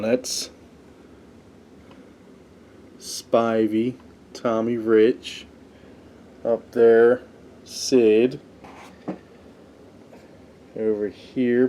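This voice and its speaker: A man's voice: medium pitch, mellow. A man hosting a podcast with casual, offhand, unscripted commentary. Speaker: podcast host, wrestling fan reviewer.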